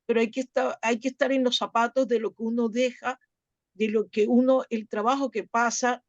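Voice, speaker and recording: A woman from Latin America telling a personal story to a group in an earnest, halting way, repeating words as she goes. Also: a little high, steady tone, no echo, muffled recording. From Latin America, outside Chile. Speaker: club volunteer and workshop participant.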